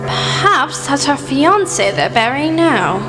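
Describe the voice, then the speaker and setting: Radio play: woman as genteel English lady storyteller, sentimental, very animated, hall reverb with music behind. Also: sits high, takes it slowly, heavily acted. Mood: kindly, curious.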